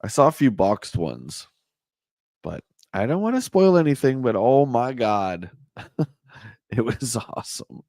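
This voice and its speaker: A man with a hoarse voice hosting a casual livestream, chatting and answering viewer comments. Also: medium pitch, very animated, a little slow, pauses often, a little mellow, no room sound, clean studio sound. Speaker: livestream host. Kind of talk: casual live chat reading a magazine.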